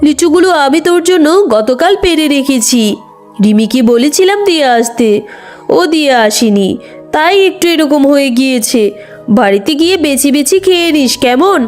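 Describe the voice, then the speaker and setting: A woman voicing a sly tigress aunt in a cartoon, falsely sweet, brisk and coaxing, over music. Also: sits high, expressive, a little mellow.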